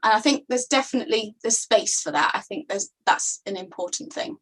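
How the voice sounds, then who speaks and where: Female interpreter voice, thoughtful, unhurried, mid pitch. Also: a bit bright, breathy edge, poor audio.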